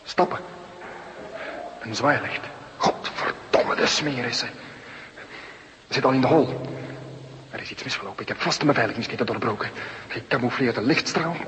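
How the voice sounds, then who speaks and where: A man acts out a desperate burglar on the run in a radio play. He mutters and curses tensely to himself. His voice rings in a long reverb with clear noise around it. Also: mid-range voice, moderate pace, long pauses, lightly acted. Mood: alarmed. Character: panicking male burglar fleeing over rooftops. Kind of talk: radio drama acting. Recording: noisy, long hall reverb.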